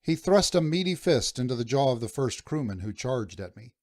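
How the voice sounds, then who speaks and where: A man's voice: mid-range voice, big pitch swings, brisk, a little mellow, no echo. Male audiobook narrator, very dry sound. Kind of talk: scripted first-person adventure narration.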